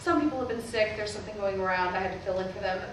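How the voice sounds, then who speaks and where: A woman's voice: medium pitch, rapid-fire, natural voice. An actress playing a weary, wry mother and preacher's wife in a staged play reading. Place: indoor theatre stage. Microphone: distant camera microphone. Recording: echoey stage recording, far off, noisy.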